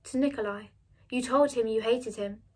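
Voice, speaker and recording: A woman voicing a gentle, loving but principled mother in a story reading. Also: fairly high pitch, moderate pace, close to an everyday voice. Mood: weak, gentle.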